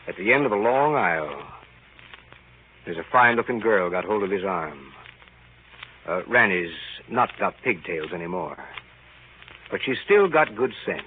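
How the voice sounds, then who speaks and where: An actor playing a folksy, wry older townsman telling a story in a 1930s radio drama. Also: lowish voice. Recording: muffled, faintly noisy 1930s radio recording.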